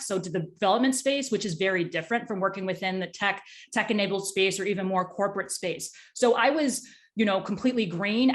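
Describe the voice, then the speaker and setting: A woman interview guest talking conversationally, in a candid, excitable and talkative way. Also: mid-range voice. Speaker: tech startup executive, interview guest.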